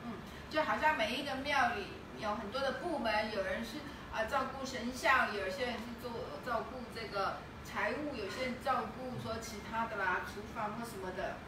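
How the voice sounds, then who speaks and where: A woman's voice: a little high, a little fast, hardly any pauses. A middle-aged woman interpreting a talk, relaying each phrase steadily with small filler sounds. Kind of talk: consecutive interpretation. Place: temple hall, indoors. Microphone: room camera at a distance. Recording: very echoey hall from far off, with noise.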